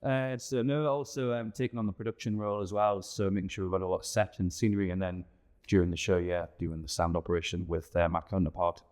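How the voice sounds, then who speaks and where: A man's voice: low voice, level tone, fast, a little mellow. A man giving casual interview answers as an amateur theatre director.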